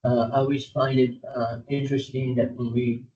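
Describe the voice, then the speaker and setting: A man born in Cuba chairing a public board meeting, pausing often with filler sounds as he speaks and reads a formal proclamation. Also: level tone, dark tone, distant mic, a little hiss or hum. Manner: hesitant, many filler sounds. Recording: dull low-fidelity meeting audio.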